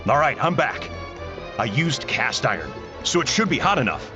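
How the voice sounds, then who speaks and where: A man's voice: mid-range voice, big pitch swings, normal speed, natural voice. An actor voicing an eager, helpful young village man in a video game. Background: prominent game music.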